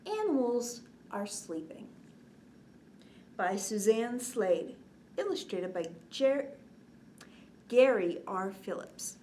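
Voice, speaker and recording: A woman in her forties hosting a children's bedtime story time, expressive, drawing out words and often dropping to a hushed, whispery tone. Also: on the high side, slow pace, long pauses. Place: indoors, small curtained set. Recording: clear background noise.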